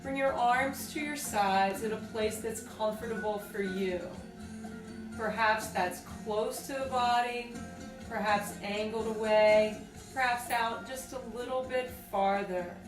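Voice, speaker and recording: Lady doing a guided meditation real slow and soothing, roomy and far from the mic. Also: a little high. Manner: slow, deliberate, soothing phrasing with pauses. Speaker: group exercise coordinator leading guided meditation. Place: indoors on a rug by firelight. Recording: roomy, distant mic, music behind.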